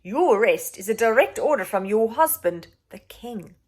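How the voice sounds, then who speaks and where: Woman playing sinister male courtier, storybook villain, soft, slow, purring, condescending, coldly polite. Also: a little high, lively intonation, slightly rough. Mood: coolly polite. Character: smooth, unruffled, sinister male royal adviser, coldly polite. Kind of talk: children's storybook reading.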